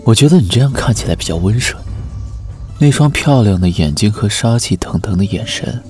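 A man acting a calm, amused captor in a radio drama, softly taunting with faint menace. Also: on the low side, a little fast, faint music behind, close to an everyday voice. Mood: teasing.

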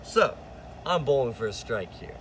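A young American man coaches kids in a casual instructional video. His voice sits at an average male pitch, recorded on a phone outside in a backyard with faint ambient noise behind him. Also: big pitch swings, normal speed, fairly bright tone, low-quality recording. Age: 20s. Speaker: sports coach. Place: outdoor backyard. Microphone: phone, at arm's length, then farther away.

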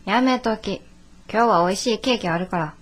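An actress playing a brisk, nagging daughter in her twenties, blunt and scolding with a Kansai accent, in a radio drama. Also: medium pitch, close to an everyday voice. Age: 20s. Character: brisk, nagging 24-year-old daughter of a shopkeeper.

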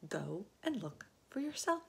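A practical, reassuring young boy from a children's storybook as a woman reads him. He explains earnestly and emphatically, pitched up, with swooping intonation. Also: very animated, unhurried, vocal fry, husky, exaggerated voice. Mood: confident. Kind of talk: children's storybook read aloud.

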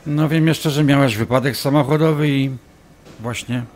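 An actor playing a smug, obsessive doctor in an audio drama, taunting and insinuating as a manipulative ex-lover. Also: male voice, mid-range voice, normal speed, darkish tone, lightly acted. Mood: insinuating, pressing. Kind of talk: audio drama acting, dialogue.

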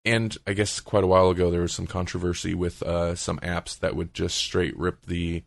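A man's voice: low voice, little pitch movement, moderate pace, gravelly, dry recording, studio quality. A man hosting a podcast, chatting casually and feeling out his words as he goes. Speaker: podcast host.

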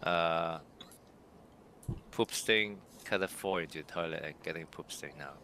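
Deep gravelly man on noisy chat. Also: unhurried, pushed and bright. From Macau. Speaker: teammate on voice chat. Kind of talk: casual gaming chat.

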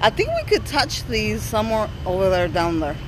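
A woman's voice: mid-range voice, sing-song, normal speed, background noise. A woman chatting casually on a handheld phone, moving between English and Spanish with short questions and exclamations. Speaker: vlogger, mother touring an aquarium with her children.

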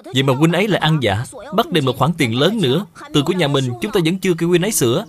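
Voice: medium pitch, animated, racing, natural voice. A performer voicing an earnest, respectful young boy in a cartoon, speaking politely and eagerly. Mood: indignant. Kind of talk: cartoon dub acting.

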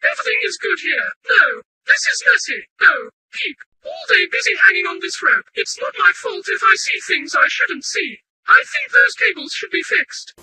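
An actor voicing a small, fussy, hyperactive cartoonish robot worker from a video game, with a squeaky, tinny voice and short repetitive exclamations. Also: big pitch swings, moderate pace, few pauses, sharp tone, exaggerated voice. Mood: busy, fussy. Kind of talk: video game character barks.

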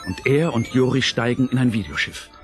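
A man narrating an audio play in a scripted, dramatic style, measured and suspenseful. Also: mid-range voice, lively intonation. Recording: narration over clear music.

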